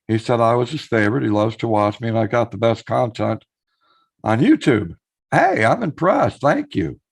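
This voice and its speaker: A hoarse man chats on his live stream. His gravelly voice rises and falls a lot, and he greets his viewers by name as he reads out their messages. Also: mid-range voice.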